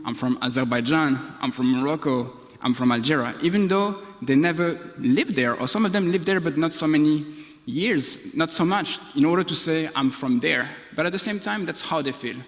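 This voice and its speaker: Man on a reverberant conference stage, fairly high-pitched. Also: a bit bright. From Belgium. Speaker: panel speaker on de-radicalization. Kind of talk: conference speech with personal examples. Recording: echoey conference hall, long reverb.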